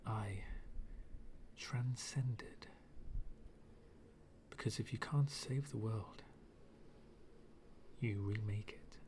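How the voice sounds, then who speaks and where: Man acting a slow, brooding dark villain. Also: fairly low pitch, a little hiss or hum. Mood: brooding, resolute. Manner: slow, brooding, dramatic, half-whispered with long pauses. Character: fallen-world villain, male, bitter, menacing, coldly philosophical. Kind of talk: ASMR villain monologue roleplay.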